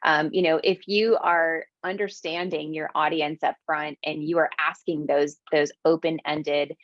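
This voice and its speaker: A woman's voice: deep voice, fairly even intonation, measured pace, dry recording, lo-fi sound. A woman from the American South, a business owner answering interview questions with emphatic repetition. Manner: emphatic, repeats phrases for stress.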